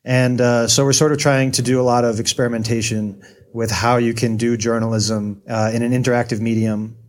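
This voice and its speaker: A man's voice: medium pitch, flat delivery, a little fast. An American man speaking as an interview guest, thinking aloud with lots of fillers and hedges. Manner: thoughtful, hedging with many fillers. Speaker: guest, data journalist. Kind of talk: casual interview answers.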